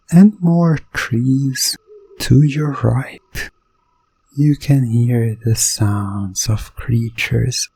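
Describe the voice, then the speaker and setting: A man narrating a hypnotic ASMR science fiction roleplay in a deliberate, lulling way, repeating phrases and often dropping toward a whisper. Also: medium pitch, mellow, breathy edge, no echo, low-quality recording. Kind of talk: slow hypnotic ASMR second-person narration of a sci-fi roleplay.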